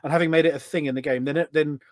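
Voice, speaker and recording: A middle-aged man chatting casually as a podcast guest, telling stories in an animated way. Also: a little high, rapid-fire, no echo, clean studio sound. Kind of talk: casual anecdotal chat.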